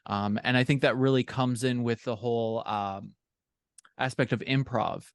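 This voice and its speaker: A man's voice: medium pitch, fairly even intonation, moderate pace, clean tone, no room sound, clean studio sound. A man talking conversationally as a guest in a podcast interview, explaining things in an informal, thoughtful way. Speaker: podcast guest, fiction co-author.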